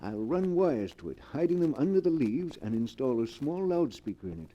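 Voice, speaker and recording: Shifty old professor in a 40s radio play, dark husky voice, really swoopy delivery. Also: male voice, medium pitch, very animated, normal speed, muffled recording. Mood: gloating, conspiratorial. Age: older. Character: sly old professor, a scheming swindler. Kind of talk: 1940s radio acting.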